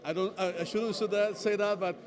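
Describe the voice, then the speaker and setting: A man hosts a casual interview, halting and repeating himself with non-native phrasing. His voice is fairly high. A lively crowd is clearly audible around him. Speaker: interviewer host.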